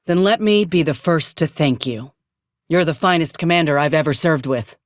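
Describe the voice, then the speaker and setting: An actress voicing a frank, loyal frontier soldier in a video game. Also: female voice, sits low, moderate pace, dry recording, clean studio sound, natural voice. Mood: solemn, heartfelt. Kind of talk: scripted video game character dialogue.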